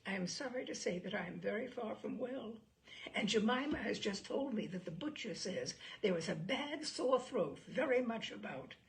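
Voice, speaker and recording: A woman reading a classic novel aloud, voicing a self-pitying, gossipy young married gentlewoman with an animated, complaining manner. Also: mid-range voice, normal speed, gravelly, poor audio. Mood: peevish, complaining.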